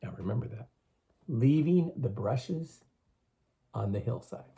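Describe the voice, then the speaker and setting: A man reading a story aloud to schoolchildren in an expressive, teacherly way, with emphatic phrasing. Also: mid-range voice, a little slow, background noise. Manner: teacherly, emphatic, addresses listeners with questions.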